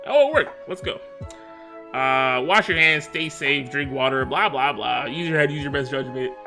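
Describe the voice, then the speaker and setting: A man's voice: mid-range voice, lively intonation, moderate pace, bright, forceful tone, faint music behind. A man streaming a game, chatting loosely in slang while his throat is sore and strained. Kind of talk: casual livestream chat.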